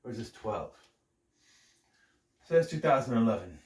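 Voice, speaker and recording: A man hosting his own wrestling review vlog, rambling casually while getting over a cold, a bit nasal and clearing his throat. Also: moderate pace. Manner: chatty, self-interrupting, lively pitch swings. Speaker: host of a wrestling review channel. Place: his room at home. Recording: echoey room.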